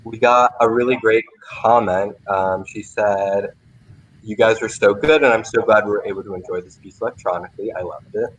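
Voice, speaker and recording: A man's voice: on the low side, fairly even intonation, moderate pace, a bit bright, slight background noise, rough recording. A young man hosting a casual livestream Q&A as a playwright, thinking aloud with lots of "like" and "kind of". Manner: informal, thinking aloud, often saying "like" and "kind of". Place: remote video livestream.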